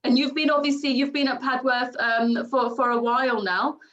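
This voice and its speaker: A woman's voice: high-pitched, steady tone, normal speed, a bit bright, muffled recording. A woman hosting a school information session on a video call, polite and upbeat, with frequent ums and thank-yous. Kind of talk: friendly moderating on a video call.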